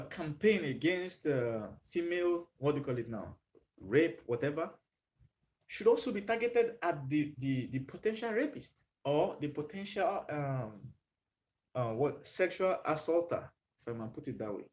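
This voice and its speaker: A man hosting a live stream, thinking aloud with frequent fillers and answering viewer comments. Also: fairly high pitch. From Nigeria.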